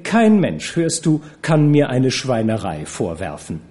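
A man voicing a coquettish young actress who teases and cajoles in a high, airy voice. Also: very animated, unhurried, mellow. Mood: teasing. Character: coquettish, teasing young woman, a theatre actress.

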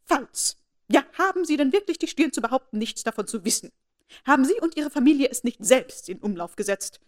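A woman's voice: high voice, expressive, moderate pace, voice pushed up. A woman voicing a haughty, imperious elderly noblewoman who speaks in a commanding, formal and indignant way.

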